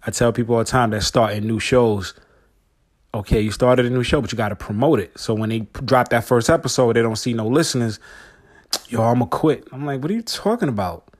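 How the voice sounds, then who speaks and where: A man's voice: mid-range voice, fairly quick. A man in his forties hosting a solo podcast, talking casually and slangily straight to his listeners. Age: 40s. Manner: laid-back, slangy, talks straight to listeners. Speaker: podcast host.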